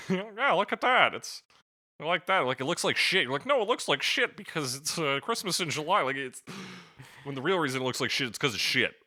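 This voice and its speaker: Male podcast co-host, very bright forceful voice, lively swooping pitch, blunt, profane, jokey. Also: a little fast, dry recording, studio quality. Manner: animated, mimics sounds. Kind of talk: casual music review chat.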